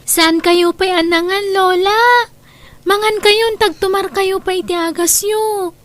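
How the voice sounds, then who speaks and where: A woman's voice: very high-pitched, fairly even intonation, slow pace, a bit bright, no echo, full character voice. A woman playing an eager, affectionate young grandchild in a radio drama. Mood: eager, insistent.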